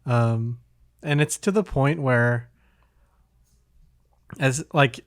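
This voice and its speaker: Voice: medium pitch, drawn-out words, dry recording. Casual podcast host, dry close sound. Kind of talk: casual conversation about video games.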